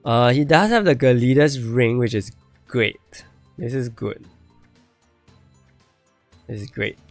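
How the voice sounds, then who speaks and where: A man's voice: medium pitch, slow pace, darkish tone, faint music behind. A man streaming a game and reviewing viewer accounts, thinking aloud and repeating himself as he starts to lose his voice. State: losing his voice. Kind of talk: casual live-stream commentary.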